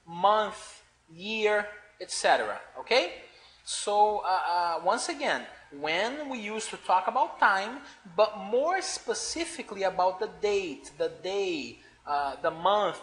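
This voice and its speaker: A man teaching beginners English, talking slowly and deliberately. His pitch swings up and down a lot as he stresses each point. He keeps checking in with the class through a frequent "okay?". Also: fairly bright tone.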